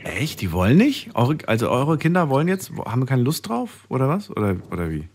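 A man's voice: medium pitch, huge pitch swings, fairly quick, a little mellow, a little hiss or hum. A male radio host chats with a caller and asks follow-up questions in a friendly, conversational way. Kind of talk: phone-in radio show.

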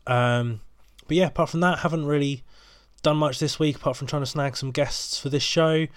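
Congested podcast host, flat delivery. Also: male voice, a little high, normal speed, clear, resonant tone, no room sound, studio quality. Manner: rambling, many ums and restarts. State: bunged up with a cold. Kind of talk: casual solo podcast intro.

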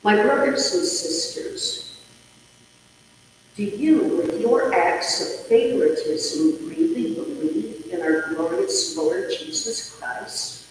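Female lector, unhurried and very lively in intonation, heard from afar in a reverberant church with faint noise. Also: mid-range voice. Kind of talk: formal scripture reading aloud. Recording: very echoey hall, distant, faint noise.

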